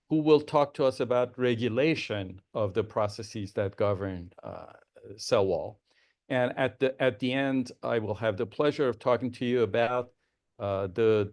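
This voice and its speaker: A man's voice: medium pitch, a little mellow. A man organizing and moderating a scientific webinar, speaking formally with frequent hesitant fillers over a video call. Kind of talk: formal academic introduction.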